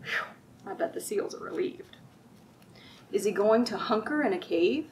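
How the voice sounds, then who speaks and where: A woman's voice: mid-range voice, lively intonation, normal speed, a little mellow, in a whisper. A middle-aged woman hosting a children's storytime, reading and chatting to young listeners with simple wording. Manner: asks the listener frequent simple questions. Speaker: children's librarian and storytime host. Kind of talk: children's storytime read-aloud and chat. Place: library room with bookshelves. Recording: distant mic, audible room noise.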